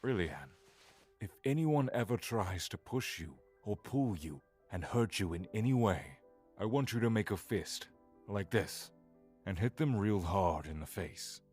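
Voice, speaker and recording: An actor playing a protective, encouraging father in an audio drama, with a husky voice and gentle manner. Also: lowish voice, expressive, unhurried. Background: music.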